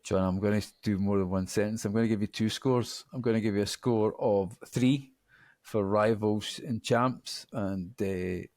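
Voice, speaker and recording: A man's voice: fairly low pitch, fairly even intonation, normal speed, darkish tone, dry recording. Chatty good-humoured man on a podcast. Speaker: podcast co-host. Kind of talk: casual gaming podcast chat.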